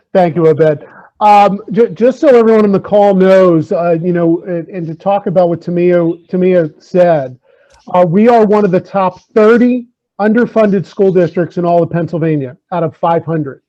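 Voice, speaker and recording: A man's voice: sits high, takes it slowly, dark tone. A man, a school superintendent, speaking at an online community meeting over a video call, earnest and hesitant with many fillers, repeating key figures for emphasis. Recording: dull lo-fi call audio.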